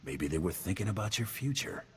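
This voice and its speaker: A man's voice: low-pitched, lively intonation, a little fast. A man voicing a tough, laconic bounty hunter in a video game, terse and dryly menacing. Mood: gruffly dry. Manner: terse, dry, threatening. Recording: long hall reverb, background noise.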